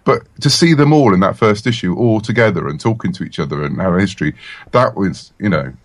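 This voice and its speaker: A man's voice: lowish voice, animated, a little fast, no room sound. An English man in his forties, a writer being interviewed, chatting casually and enthusiastically. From England. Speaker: comic book writer, guest.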